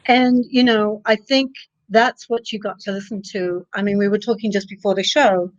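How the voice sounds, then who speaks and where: A woman's voice: mid-range voice, steady tone, moderate pace, no echo. A woman hosting a live video chat, coaching a guest with encouraging, practical advice and old sayings. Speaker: psychic coach and live show host. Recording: dull dry sound.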